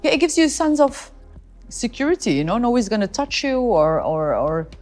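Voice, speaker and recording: A woman's voice: a little high, big pitch swings, a little fast, darkish tone, faint music behind. A woman from the Netherlands with a Dutch accent, giving casual, chatty interview answers as a homeowner.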